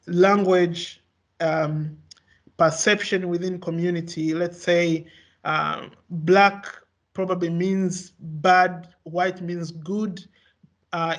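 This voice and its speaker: High-voiced Swahili-native man lecturing. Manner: halting, frequent fillers and restarts. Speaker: researcher presenting. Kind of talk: academic research talk.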